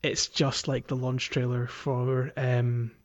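A man co-hosting a podcast in casual chat, speaking in a hesitant, halting way. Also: medium pitch, little pitch movement. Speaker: podcast co-host. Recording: dull lo-fi microphone audio.

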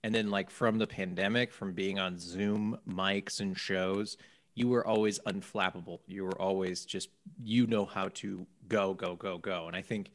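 Low-voiced rambling co-host man. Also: fairly even intonation. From New England. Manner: rambling, halting. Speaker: podcast co-host. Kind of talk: casual podcast interview.

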